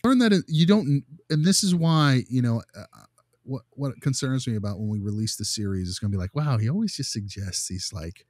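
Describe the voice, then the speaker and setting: A man's voice: mid-range voice, expressive, a little fast, darkish tone, no echo, studio quality. A man talking casually as a podcast co-host, emphatic and often breaking off to restart his thought. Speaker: podcast co-host, behavior analyst.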